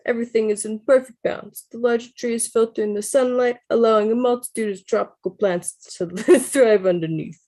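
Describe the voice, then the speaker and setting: A woman's voice: on the high side, brisk, few pauses, warm tone, pitched above the performer's own voice, close to an everyday voice. A woman putting on a Brooklyn accent to voice a fit, friendly man in his mid-forties, explaining things in a chatty way while reading a novel aloud to friends. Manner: chatty and explaining, with playful laughs breaking through.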